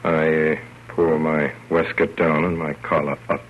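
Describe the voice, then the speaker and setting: A man playing a fond, wry, gentlemanly father telling his story with measured theatrical delivery in 1930s radio style. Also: rumbling low, flat delivery. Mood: wry narration. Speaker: narrator. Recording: muffled, noisy old radio broadcast.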